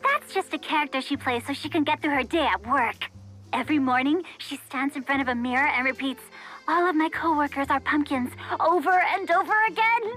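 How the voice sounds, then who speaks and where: An actress playing a chatty, gossipy young woman in a video game, dramatic and snarky with drawn-out emphasis. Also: high voice, pushed and bright. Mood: exasperated, gossipy. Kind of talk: animated video game voice acting. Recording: studio voice over background music.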